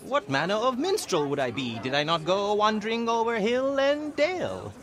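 An actor playing a florid, grandiose, playful bard in a video game, with pompous and dramatic delivery. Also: male voice, high-pitched, huge pitch swings, moderate pace, fairly bright tone, full character voice. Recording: music and outdoor crowd behind.

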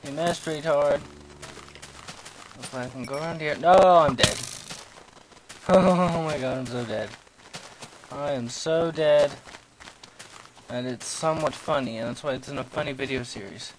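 A young man giving goofy, self-mocking commentary while playing a game, yelling at monsters and talking to himself. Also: on the high side, a little slow, long pauses. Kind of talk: let's play commentary. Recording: noisy home recording.

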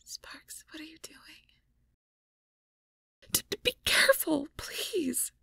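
A woman playing a shy, anxious young mage girlfriend in an intimate audio roleplay, hesitant and stammering in a breathy whisper. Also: high-pitched, sing-song, slow, pushed and bright, no room sound, heavily acted. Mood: pleading, anxious. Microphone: close microphone, dry.

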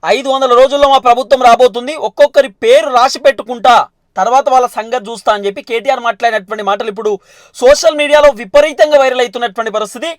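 Telangana guy doing political news commentary, really high voice, talks fast, explains stuff over and over. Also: no echo, clean studio sound. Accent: Telangana Telugu.

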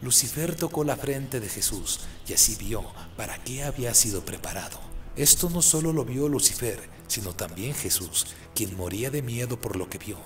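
A man's voice: mid-range voice, expressive, normal speed, hardly any pauses, faint music behind. A man giving solemn, scripted dramatic narration for a radio play.

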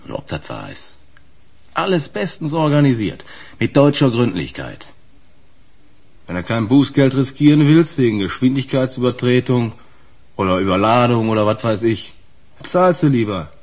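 A gruff, wary truck driver in a radio crime play. His husky voice rises and falls freely as he talks in blunt, slangy, colloquial phrases. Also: mid-range voice, very animated, normal speed, darkish tone. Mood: knowing, blunt. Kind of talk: naturalistic German radio crime drama.

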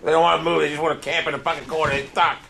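A man's voice: a little high, flat delivery, rapid-fire, gravelly, bright, forceful tone. A man playing a video game, griping and ranting in profane, repetitive chatter on a noisy recording.

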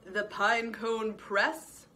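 A huge, stern old badger speaks gruffly, with a booming certainty, as a woman puts him on. Storybook reading, unhurried. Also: steady tone, bright, forceful tone, a little hiss or hum. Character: large old stern male badger, hotel owner.

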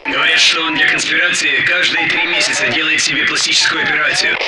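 An actor playing a wary Russian soldier on patrol in military video game voice lines. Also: male voice, a little high, fairly even intonation, fast, recorded from a distance, loud music behind the voice, natural voice.

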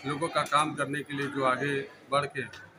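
A man's voice: mid-range voice, moderate pace, background noise. A man in his forties speaks formally into a handheld mic at an indoor town hall event. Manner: formal, flat delivery. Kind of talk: news interview. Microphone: handheld reporter mic. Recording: loud hall ambience behind.

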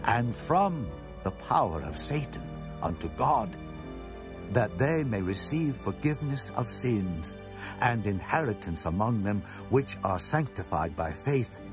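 Man acting earnest, impassioned prisoner preacher, very animated. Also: lowish voice, measured pace. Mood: earnest, solemn. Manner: declamatory, solemn. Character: Apostle Paul, earnest, impassioned prisoner defending himself. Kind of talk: dramatized scripture acting. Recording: prominent music bed under voice.

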